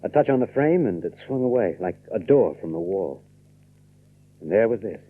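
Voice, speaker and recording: An actor playing an earnest, grave young gentleman with a tender side in a dramatic radio play. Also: on the low side, expressive, moderate pace, breathy edge. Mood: grave, revealing. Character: earnest young gentleman revealing a long-hidden family secret, tender. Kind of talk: old radio drama acting. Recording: muffled, narrow old radio recording.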